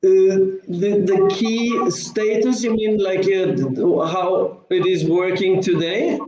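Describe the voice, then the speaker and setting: A man from Norway speaks as a guest over a video call, and his voice sits a little high for a man. He explains things at length, stopping often to restart. The sound carries a noticeable room echo. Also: fairly even intonation, takes it slowly, dark tone, highs cut off, a little hiss or hum. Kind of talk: casual online interview.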